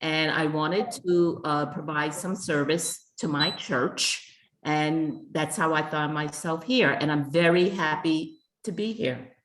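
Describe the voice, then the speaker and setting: A woman in her sixties, recently retired from banking, speaking slowly with many hesitant fillers on a panel. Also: low voice, a bit bright, echoey room, low-quality recording. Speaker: panelist, credit union president. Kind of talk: online panel talk.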